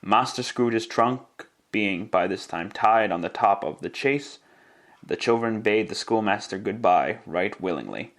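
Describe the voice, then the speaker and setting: Guy narrating an old timey radio story, lowish voice, measured and theatrical. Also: animated, fairly bright tone. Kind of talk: scripted storytelling narration in classic radio style.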